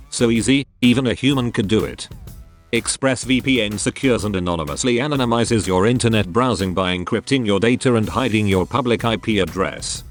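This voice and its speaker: A man reading a scripted sponsor message as an announcer in a deadpan, mock-formal manner. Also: lowish voice. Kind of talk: scripted ad read. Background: music.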